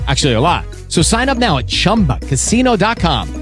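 Upbeat animated man reading an ad. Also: high-pitched, brisk, soft background music. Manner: upbeat, chatty. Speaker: advertising spokesman. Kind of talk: casual scripted ad read.

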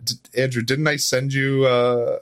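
A man speaking as an interview guest in casual chat, telling things informally and a little haltingly. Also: medium pitch, flat delivery, fairly bright tone. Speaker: interview guest, audio drama writer.

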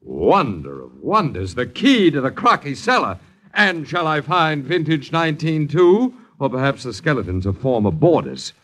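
A man's voice: medium pitch, sing-song, normal speed, fairly bright tone. A sardonic American lodger in an old-time radio play. His delivery is florid and theatrical, swooping up and down as he needles his miserly landlords with mock grandeur. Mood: grandly ironic. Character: sardonic, wordy male boarder mocking his miserly landlords. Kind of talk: 1950s American radio drama acting. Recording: 1950s radio broadcast recording.

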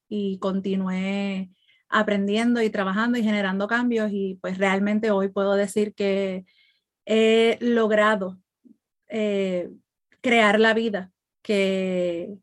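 A woman co-hosting a podcast, speaking reflectively with many pauses, fillers and restarts. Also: mid-range voice. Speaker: podcast co-host.

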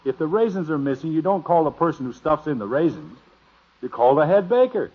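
A stubborn suburban American dad in a vintage radio sitcom. His middle-aged voice swings widely and has a husky edge. He is blustery and teasing, heard through a muffled old broadcast. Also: on the high side, sing-song, brisk. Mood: exasperated. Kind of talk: 1950s radio comedy acting.

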